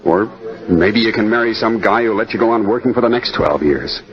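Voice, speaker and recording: An actor playing a frustrated, insistent suitor in old-time radio drama style, earnest with a bitter edge. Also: male voice, fairly low pitch, expressive, fairly quick, lightly acted. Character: frustrated, insistent suitor pressing his sweetheart to leave. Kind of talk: 1940s-50s radio drama acting. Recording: dull old radio recording, crowd chatter behind.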